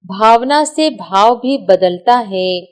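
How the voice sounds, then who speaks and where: A woman reading a scripted devotional discourse in measured, deliberate phrases with short pauses. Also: medium pitch, animated. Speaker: reader of a spiritual discourse.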